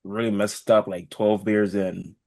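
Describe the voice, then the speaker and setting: A man with a fairly low voice talks casually as a podcast co-host. The recording is dry, with hardly any room sound. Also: flat delivery, measured pace, creaky, a little mellow, no echo. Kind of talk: casual chat.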